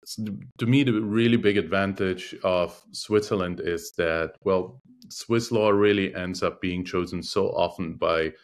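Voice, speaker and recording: A man who is a native Russian speaker, a lawyer guest speaking in a measured, thoughtful interview manner with frequent hesitations. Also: sits low, fairly even intonation. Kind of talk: conversational interview.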